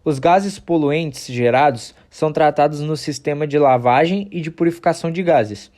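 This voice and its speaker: A man's voice: on the high side, normal speed, darkish tone, lightly acted. A man with a Brazilian accent playing a diligent student who recites a textbook-like explanation in an amateur radio play. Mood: earnest, reciting. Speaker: student in class.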